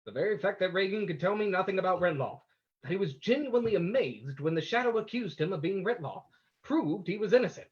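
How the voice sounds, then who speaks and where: A suave, smug gentleman sleuth in a radio play, acted by a man. His high voice is animated and wry, heard through slightly dull, lo-fi sound. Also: quick pace. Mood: smug, teasing. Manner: wry, self-assured. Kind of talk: old-time radio acting.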